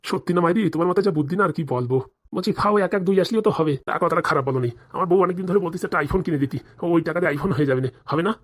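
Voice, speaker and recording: A man's voice: a little high, rapid-fire, natural voice. A man voicing a greedy, scheming village policeman in a comic cartoon, with conspiratorial, rustic, colloquial speech.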